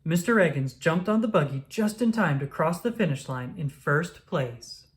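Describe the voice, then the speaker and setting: Expressive woman reading a children's storybook. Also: deep voice, normal speed. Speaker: storybook reader. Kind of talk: children's read-aloud narration.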